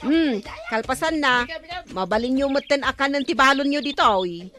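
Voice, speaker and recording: An actress playing a bossy, scolding village councillor in an Ilocano radio comedy, curt and commanding, with an Ilocano accent. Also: female voice, sits high, animated, normal speed, sharp tone. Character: bossy, scolding female village councillor ordering workers around.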